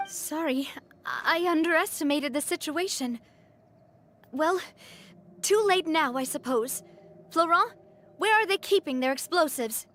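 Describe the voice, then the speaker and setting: A woman's voice: high-pitched, animated, a little slow, long pauses, a bit bright, a little hiss or hum. An actress voicing a confident, caring young woman who leads her group in a video game. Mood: determined. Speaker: leader of a group.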